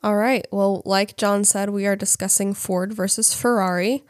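A woman's voice: medium pitch, fairly even intonation, normal speed, dry recording, studio quality. A woman co-hosting a casual podcast, congested from a cold, reading out facts with frequent fillers and some hesitation over names. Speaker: podcast co-host.

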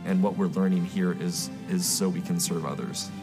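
A woman's voice: very deep voice, steady tone, moderate pace. A young woman, a college student, giving a casual testimonial. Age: young adult. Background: music with guitar.

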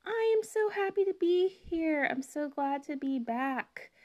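A young woman hosting her own podcast in a chatty, rambling monologue full of fillers, her voice slightly raspy as she gets over a cold. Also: sits high, animated, unhurried, darkish tone, lo-fi sound. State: recovering from a cold, slight cough. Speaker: podcast host. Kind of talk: casual solo podcast monologue.

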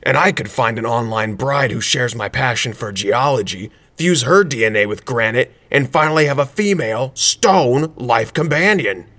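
A man's voice: medium pitch, lively intonation, moderate pace, a bit bright, lightly acted. A man playing an aggrieved, awkward stone superhero, grumbling yet earnest, in a comedic audio drama.

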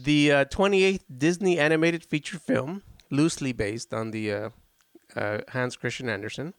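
A man's voice: medium pitch, normal speed, clear, resonant tone, no room sound. A man hosting a casual film podcast, talking enthusiastically and rambling with frequent restarts. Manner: enthusiastic, rambling, self-interrupting. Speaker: podcast host. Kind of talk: casual chat about old films.

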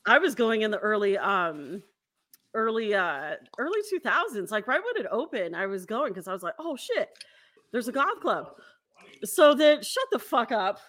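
Animated, higher-voiced woman chatting casually. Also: moderate pace. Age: 40s. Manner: giggly, rambling, excitable. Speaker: interview show host. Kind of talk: casual, profane chat.

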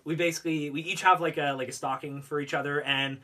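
A man's voice: a little high, fairly even intonation, fast, pushed and bright. A man co-hosting a casual podcast, talking in a hesitant way full of filler words. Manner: hesitant phrasing with many likes and ums. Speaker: podcast co-host. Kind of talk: casual chat. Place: podcast recording studio. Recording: slightly distant from the mic.

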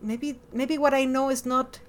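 Podcast host, female, Latin American Spanish accent, slightly high voice, expressive and emphatic in casual conversation. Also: measured pace. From born in Miami, lived over a decade in Ecuador.

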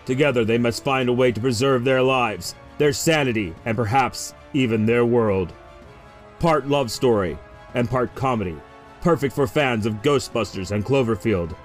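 A man's voice: mid-range voice, moderate pace, soft background music. A man reading scripted promotional narration as a trailer announcer.

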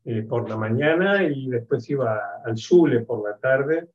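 A man's voice: mid-range voice, expressive, darkish tone, dull audio. Argentine man in his sixties, hesitant. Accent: Argentine Spanish. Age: 60s. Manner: measured, reflective, frequent hesitations. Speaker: guest, education expert. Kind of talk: interview conversation. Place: home office.

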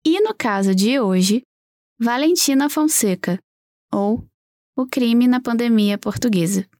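A Brazilian woman hosts a true crime podcast in a fairly high-pitched voice. She narrates conversationally, as if telling a story to listeners. The sound is dry, with no room echo. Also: animated, unhurried, a little mellow.

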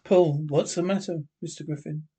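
A husky-voiced reader playing a worried husband who speaks in a plain, reassuring way. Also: medium pitch, steady tone, moderate pace, dark tone, pitched below the performer's own voice. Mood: concerned. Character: worried husband searching for his wife.

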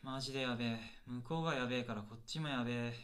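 A man's voice: medium pitch, rapid-fire, a bit bright, close to an everyday voice. A man acting as an earnest stage actor in a comic radio drama, polite but bluntly honest with his director. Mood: dismayed. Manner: polite, matter-of-fact junior talking back.

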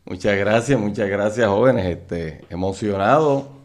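A middle-aged Puerto Rican man, a guest on a talk show, telling stories from his youth in a relaxed way and laughing often as he remembers. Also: fairly low pitch, unhurried. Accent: Puerto Rican Spanish. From Puerto Rico. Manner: laughs often while recalling old times. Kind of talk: casual storytelling interview.

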